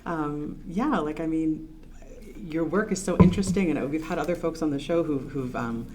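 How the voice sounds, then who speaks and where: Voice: medium pitch, moderate pace, background noise. Affirming podcast host. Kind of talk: casual interview.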